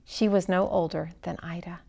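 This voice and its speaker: A woman reading a story aloud as scripted narration, in an expressive, dramatic way with hushed phrase endings. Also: lowish voice, moderate pace, gravelly, husky.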